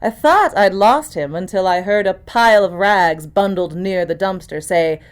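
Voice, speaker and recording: A woman's voice: mid-range voice, moderate pace, clean tone. A woman actor reading scripted first-person mystery narration, as for an audiobook.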